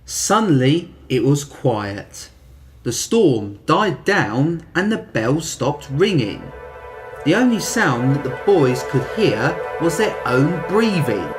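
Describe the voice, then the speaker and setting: Man narrating spookily with animated, swooping pitch. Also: takes it slowly, soft background music. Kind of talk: dramatic scripted Halloween story narration.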